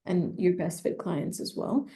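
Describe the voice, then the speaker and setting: A woman who specializes in personal branding, giving measured, thoughtful advice in an interview with frequent fillers. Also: fairly low pitch, animated, mellow. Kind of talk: conversational expert advice.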